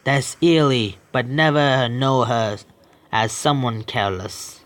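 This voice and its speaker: A man's voice: medium pitch, slow pace. Young man stumbling through game text. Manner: stumbling reading, self-correcting with apologies. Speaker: gamer reading a visual novel aloud. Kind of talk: reading game text aloud with reactions.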